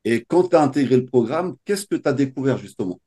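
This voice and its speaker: A man with a mellow, warm voice hosts a testimonial interview as a coach. He puts his questions in a measured, formal way. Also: mid-range voice, expressive, breathy edge.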